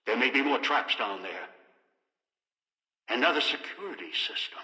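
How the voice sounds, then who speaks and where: An older man voicing a domineering, scheming old man in a video game, deliberate and imperious, through a reverberant loudspeaker echo. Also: mid-range voice, very animated. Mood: gloating, possessive. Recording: echoing hall, long reverb, distant.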